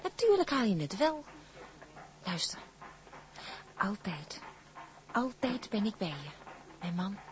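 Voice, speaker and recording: A woman's voice: medium pitch, big pitch swings, takes it slowly, pauses often. A woman playing a tender, reassuring sailor's sweetheart in a Dutch radio drama, speaking soothingly. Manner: soothing, affectionate.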